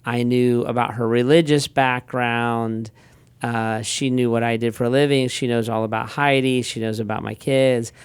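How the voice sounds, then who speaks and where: Smooth-voiced man pastor. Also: medium pitch, moderate pace, dry recording. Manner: relaxed storyteller, frequent restarts and quoted asides. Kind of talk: casual conversational talk.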